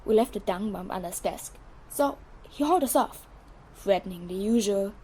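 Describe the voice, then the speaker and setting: A woman's voice: medium pitch, animated, normal speed, mellow, slight background noise, lightly acted. A woman playing a mischievous teenage schoolboy in an audio drama, telling things in a playful, theatrical way. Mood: mock-innocent, boastful.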